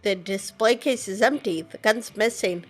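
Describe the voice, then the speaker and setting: A woman's voice: medium pitch, moderate pace, soft background music. A woman playing a video game, reacting casually and informally in character. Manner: informal, sweary. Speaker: game player.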